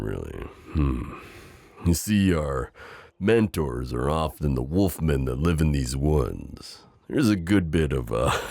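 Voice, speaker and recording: A man playing a loyal, teasing, overprotective knight in an improvised romantic audio roleplay, speaking tenderly and courteously with a gravelly voice. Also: a bass voice, a little mellow, no echo, studio quality, heavily acted.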